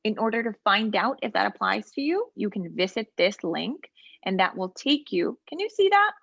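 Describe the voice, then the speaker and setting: A woman trainer speaks at a middle pitch, patiently explaining each step of an online tool. Her talk is loose and unscripted, with many ums and small asides as she clicks along. She is heard over a dull, lo-fi video-call line with a dry, close sound. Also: very animated, normal speed, gravelly, a bit bright, husky, no room sound. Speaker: legal aid trainer. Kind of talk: walkthrough of online software in a role-play interview.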